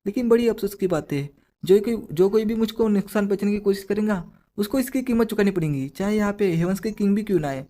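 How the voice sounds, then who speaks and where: A hoarse man voicing a proud, menacing woman who gives the orders, in a commanding, threatening tone. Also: high-pitched, lively intonation, quick pace, warm tone.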